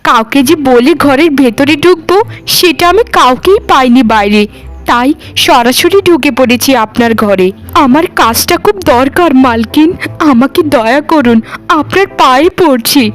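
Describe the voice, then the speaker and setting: A poor, devoted maid pleads humbly and respectfully in a high voice, as a woman plays her. She is gentle and loyal, a cartoon servant. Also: lively intonation, moderate pace, faint music behind. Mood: pleading. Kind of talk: cartoon voice acting.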